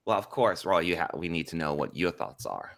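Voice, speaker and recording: A man hosting a casual online panel chat on a video call, talking in a lively way with lots of fillers, from a home room. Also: low voice, brisk, creaky. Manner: lively, chatty, frequent "y'all" and fillers. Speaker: host. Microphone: studio mic on a video call.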